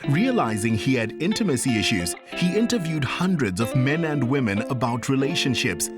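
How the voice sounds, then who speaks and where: A man giving scripted voice-over narration for a TV feature, smooth and polished. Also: mid-range voice, big pitch swings, normal speed. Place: studio voice-over. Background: background music.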